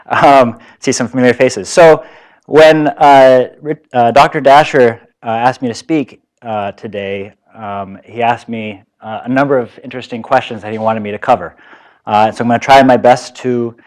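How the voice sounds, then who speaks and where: Man giving a relaxed seminar talk. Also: medium pitch, normal speed. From Japan. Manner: many fillers and restarts, relaxed explaining. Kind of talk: conference lecture. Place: lecture hall.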